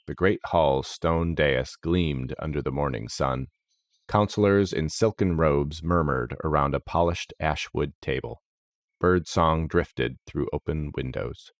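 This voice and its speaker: A man's voice: very deep voice, no room sound, studio quality. A man reading scripted fantasy story narration.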